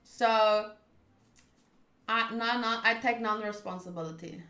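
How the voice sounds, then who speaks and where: Woman, higher-pitched bright voice, chatty game streamer, casual commentary and read-aloud narration, roomy echo. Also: measured pace. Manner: chatty, reacting to the game and viewers. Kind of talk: casual livestream commentary and reading visual novel narration aloud.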